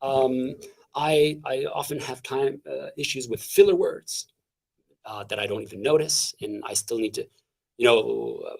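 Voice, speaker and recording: A man from Canada speaks as an interview guest, his voice fairly high and full of energy. He talks in an animated, halting way, restarting phrases and dropping in fillers as he goes. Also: creaky. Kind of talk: casual interview talk.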